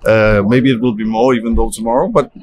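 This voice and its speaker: A man at a car expo talking up his company's compact car, keen and restless, who starts over mid-sentence. His voice sits at an ordinary middle pitch. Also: steady tone, a little fast, a little mellow. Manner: enthusiastic, restarts phrases while pitching. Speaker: car exhibitor. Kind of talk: casual interview answer. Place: indoor car expo hall.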